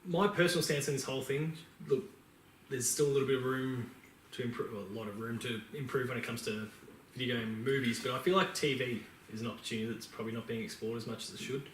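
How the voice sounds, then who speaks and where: Quick-talking man, echoey room. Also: medium pitch, steady tone. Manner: conversational, opinionated. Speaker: podcast co-host. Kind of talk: casual chat about film and games. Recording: echoey room, distant mic, faint noise.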